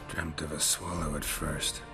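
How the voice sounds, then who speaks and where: An extremely deep, gravelly voice of a stoic monster hunter in a fantasy game. He is world-weary and dry, speaking in short deadpan lines. Music plays clearly behind him. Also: full character voice. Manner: deadpan, terse. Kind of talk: video game cutscene acting.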